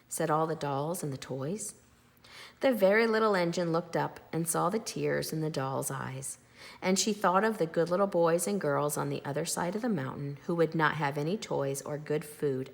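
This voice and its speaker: An older woman reading a children's story aloud as an expressive storyteller. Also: low-pitched, moderate pace, creaky.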